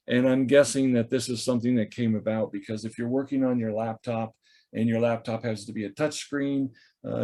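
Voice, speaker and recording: A man teaching staff a software tool over a video call, in a relaxed, chatty way with many fillers as he thinks aloud. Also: medium pitch. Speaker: instructor. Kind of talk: screen-share software training. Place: video call from a home or office. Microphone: computer or headset mic on a video call.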